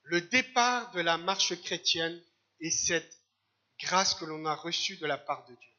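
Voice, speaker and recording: A man from Algeria preaching a church sermon in short phrases, pausing for an interpreter. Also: on the high side, animated, sharp tone, dull audio. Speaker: guest preacher. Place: church service.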